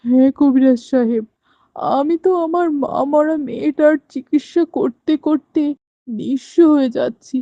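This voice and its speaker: A woman's voice: sits high, normal speed, no room sound. A woman voicing a poor, worried widowed farmer father who pleads for help in a cartoon. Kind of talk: cartoon voice acting. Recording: dull lo-fi dry dubbing.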